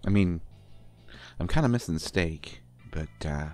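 A man playing an affectionate, bashful partner in an intimate roleplay audio, talking haltingly with stammers and gentle teasing. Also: low voice, expressive, faint music behind. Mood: tender, bashful. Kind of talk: intimate roleplay audio acting, one side of a conversation.

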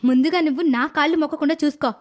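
A woman voicing a sulky, cheeky, scheming little village girl in an animated moral story. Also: high voice, rapid-fire, close to an everyday voice. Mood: defiant. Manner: insolent, talks back to elders.

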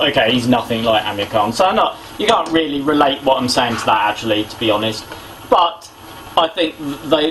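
Young British male, mid-pitched, casual and halting sports vlogger, background noise. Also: a bit bright, low-quality recording. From Britain. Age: young adult. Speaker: boxing vlogger. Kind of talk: casual opinion commentary on sport. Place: small domestic kitchen indoors. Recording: clear background noise, homemade camera audio.

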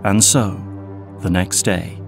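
A man narrating an audio drama in a steady, scripted storytelling manner. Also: sits low, slow, creaky, soft background music.

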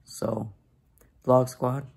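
A young man with a raspy voice talking casually to his phone camera as a vlogger, first yelling at a hockey game and later talking quietly at home. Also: mid-range voice, extremely slow. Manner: excited shouting at a hockey game. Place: indoor arena crowd, then outdoors at night, then a couch at home. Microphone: phone camera held close.

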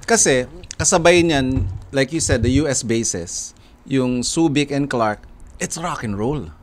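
A middle-aged man with a Filipino accent hosts a relaxed podcast chat, talking into a studio mic. His voice sits at an ordinary middle pitch. He flips between Tagalog and English mid-sentence and gets lively when something excites him. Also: unhurried, slight background noise. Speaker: podcast host. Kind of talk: casual chat. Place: studio room full of gear and shelves. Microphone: handheld mic on a stand, headphones.

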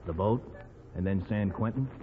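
A man's voice: fairly low pitch, moderate pace, lightly acted. An actor playing a tough but genial policeman in a 1940s radio drama. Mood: tough, businesslike. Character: tough, genial cop escorting his captured prisoner. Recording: muffled, noisy vintage radio recording.

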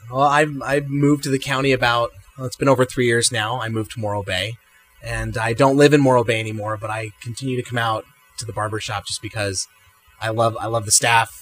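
A man's voice: mid-range voice, fairly even intonation, fairly quick, fairly bright tone, faint music behind, rough recording. A man, a regular customer giving a casual testimonial in a small barbershop, easygoing and restarting his words. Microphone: handheld mic held by interviewer.

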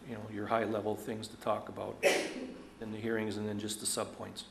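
Guy walking through an online agenda at a council meeting, flat delivery, echoey room. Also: lowish voice, brisk, creaky, noisy background. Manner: plain, step-by-step walkthrough with "you know" asides.

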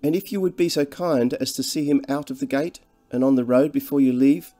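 An anxious, humble country mother from a storybook, played by a male reader. The pitch is lifted well up and the tone is soft-edged. The speech is deferential and hesitant, fretting in small repeated phrases. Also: brisk, soft background music, lightly acted. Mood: humble, pleading. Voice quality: monotonous. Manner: deferential, fretful, hesitant, repetitive. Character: anxious, humble working-class English countrywoman, fretful mother.

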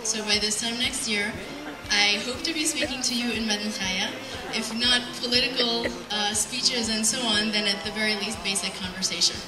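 A young adult woman giving an earnest, measured prepared speech at a podium in an event hall, reading from notes. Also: a little high, steady tone. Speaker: speaker at a community event. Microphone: handheld mic on PA, picked up by distant camera. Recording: roomy, distant sound with music.

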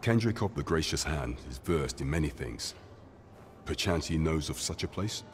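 A very low-voiced, grave captain of the guard counsels his sovereign in a fantasy game. He is courtly and measured, with loyal formality and archaic phrasing. Some noise sits behind the voice. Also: animated. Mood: grave, deliberate. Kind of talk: scripted fantasy game acting in archaic English.

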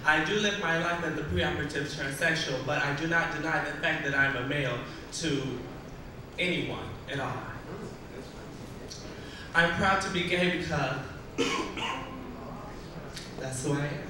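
A man answering a pageant question on stage in a measured, earnest, formal way. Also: on the high side. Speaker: pageant contestant. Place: large stage in a hall. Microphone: handheld mic through hall speakers. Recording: echoey hall taped from far off, noisy.

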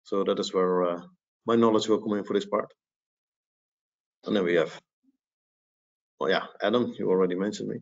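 A man presenting in an online webinar, speaking in a measured way with fillers, over a headset on a video call. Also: fairly low pitch, fairly even intonation, vocal fry. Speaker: presenter, bank employee. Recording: dull, lo-fi video call audio.

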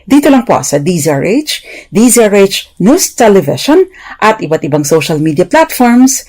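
Female radio legal commentator, Filipino accent, warm mellow mid-range voice, formal and didactic scripted explanation. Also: medium pitch, big pitch swings, moderate pace.

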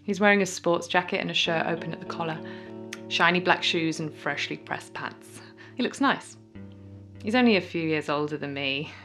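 An actress playing a resentful, wistful young sister in an audio play monologue, measured and confiding. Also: female voice, on the low side, music in the background, natural voice. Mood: wistful, hopeful.

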